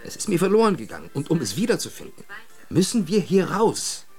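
A man's voice: on the high side, very animated, a little fast, a little mellow, soft background music. A man playing a restless, obsessed, persuasive character in a radio play, speaking with insistent emphasis. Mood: pleading, urgent. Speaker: actor in a radio drama. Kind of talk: German radio play acting.

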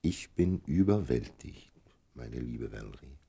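A man playing an indulgent, tender and slightly secretive lover in a German radio play, with a husky, gravelly voice and measured delivery. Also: very deep voice, animated, dark tone, exaggerated voice. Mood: tender, wistful. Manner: measured, indulgent, murmuring agreement. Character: indulgent, well-travelled male lover Charles, tender and secretive.

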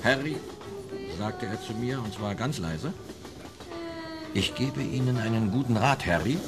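A man's voice: medium pitch, expressive, a little slow, over loud music. An actor playing an evasive tailor turned informant in a German radio play, telling his story in a hesitant, ingratiating, roundabout way. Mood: nervous, confiding.

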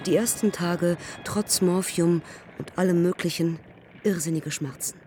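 A woman's voice: lowish voice, steady tone, dark tone, natural voice. Woman acting young lady pilot from a radio play, haunted, talks in clipped bits, faint noise behind her. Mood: terse, haunted. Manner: terse telegraphic fragments, measured. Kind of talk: literary radio drama acting.

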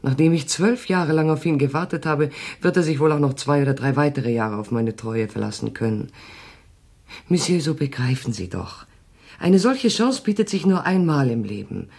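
Self-pitying French mistress, radio drama, lively swooping intonation, slightly rough voice, insistent, theatrical. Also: female voice, sits low, moderate pace, heavily acted. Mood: insistent, self-assured. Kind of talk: German radio drama acting.

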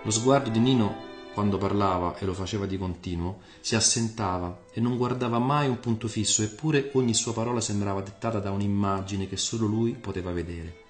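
A man narrates a literary radio story in a low voice. The delivery is scripted storytelling, set in noticeable reverberation with soft music underneath. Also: fairly quick, few pauses.

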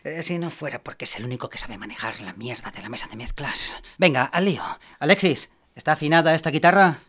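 Vain, blustering music producer. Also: male voice, a little high, quick pace, fairly bright tone. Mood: irritated, bossy. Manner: boastful, hesitant, blustering. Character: vain, bluffing, grumpy male music producer. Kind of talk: comedic radio fiction dialogue. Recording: studio radio production with music underneath.

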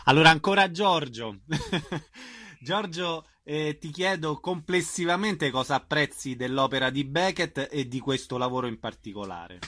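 A man's voice: on the high side, animated, unhurried, bright, forceful tone. A man hosting a radio interview, putting informal questions to his guests in slightly hesitant phrases.

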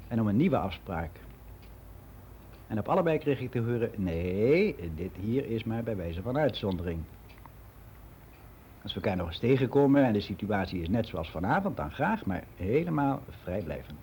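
Mellow-voiced, candid older man, played by an actor. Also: fairly low pitch, slight background noise, close to an everyday voice. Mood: recounting, wistful. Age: 60s. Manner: frank, reflective, halting with self-corrections. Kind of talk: confessional monologue in a radio play.

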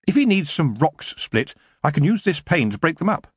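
A man voicing a clever young girl witch who speaks crisply and firmly, with a husky voice. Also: a little high, big pitch swings, normal speed, no echo, studio quality, pitched above the performer's own voice. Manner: crisp, sweetly firm.

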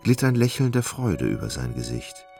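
Male narrator, very deep and gravelly, expressive, literary storytelling, music behind. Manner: measured, descriptive storytelling. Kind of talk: scripted literary narration for radio play.

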